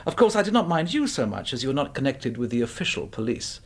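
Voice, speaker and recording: A man's voice: medium pitch, sing-song, fast talker, slightly rough. An actor playing a bland, slippery man of about thirty in a radio drama, with prim, evasive politeness. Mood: ingratiating, uneasy.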